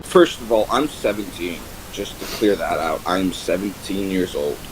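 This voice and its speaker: A man's voice: deep voice, lively intonation, normal speed, music in the background, low-quality recording. A young man talking casually and defensively, insistent and self-justifying, on an archived voice call.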